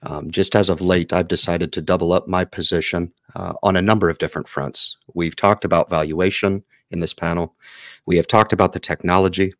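A man hosting an investing panel over a video call, closing with measured, earnest remarks on a headset mic. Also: low-pitched, fairly even intonation, no echo, clean studio sound. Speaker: host. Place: home office, video call.

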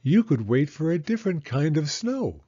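A man voicing a patient, gentle mother mouse in a children's storybook, explaining things simply and reassuringly. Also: on the high side, very animated, normal speed, slightly rough, lo-fi sound, pitched above the performer's own voice. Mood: patient, suggesting.

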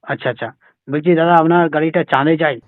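A man making a casual prank phone call, persistent and deadpan. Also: a little high, fast, soft background music. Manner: deadpan, persistent, evasive with absurd questions. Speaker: prank caller, comedy show host.

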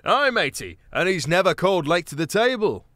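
A man's voice: high-pitched, big pitch swings, brisk, sharp tone, dry recording, clean studio sound, voice pushed up, full character voice. A man voicing a cheeky, boastful male mouse thief with a rustic manner. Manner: rustic, says matey.

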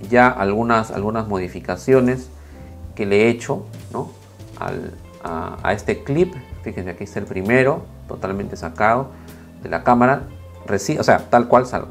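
A man in his thirties, slightly hoarse, talking casually on a lapel mic in a small home studio, with many fillers and restarts. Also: mid-range voice. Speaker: video creator reviewing camera footage. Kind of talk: casual talk to camera, then screen walkthrough.

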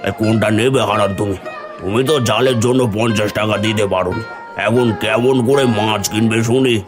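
A man's voice: fairly low pitch, steady tone, brisk, music in the background, close to an everyday voice. A man voicing a hard-nosed, taunting village moneylender in a folk-tale cartoon. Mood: scornful.

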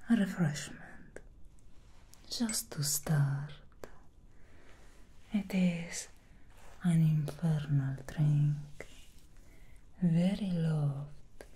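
A young woman whispering slowly as an alluring female demon hairstylist in an ASMR roleplay, close to the mic. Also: sits low, dark tone, a little hiss or hum.

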